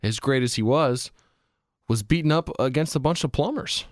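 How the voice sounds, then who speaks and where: A man's voice: medium pitch, moderate pace, no echo. A man co-hosting a casual sports show, with dry, mock-formal joking. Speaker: sports podcast co-host.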